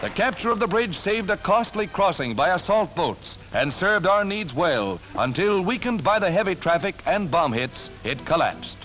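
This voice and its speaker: An American man reading scripted 1940s wartime newsreel narration in a dramatic, emphatic announcer's delivery. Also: high voice. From United States. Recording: dull 1940s newsreel soundtrack. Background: orchestral music.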